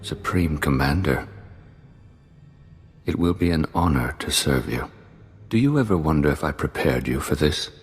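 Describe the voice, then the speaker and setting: Very deep, somber Jedi. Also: male voice, lively intonation, normal speed, long pauses, husky, heavily acted. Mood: humbled, brooding. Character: remorseful, haunted, very deep-voiced male Jedi. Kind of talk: dramatic scripted game acting. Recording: long hall-like reverb, music behind.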